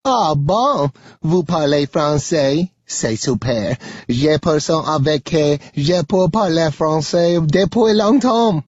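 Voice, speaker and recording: A man voicing a petite, brisk mother who speaks French and is easily disappointed, in an audiobook. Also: a little high, very animated, dry recording, dull audio, pitched above the performer's own voice. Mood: excited.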